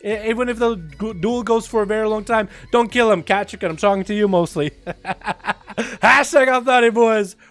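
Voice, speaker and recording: Excitable streamer with a slight Russian accent. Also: male voice, sits high, normal speed, soft background music. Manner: excitable, hollers and swears when thanking viewers. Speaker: streamer hosting duels. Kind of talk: live game stream banter.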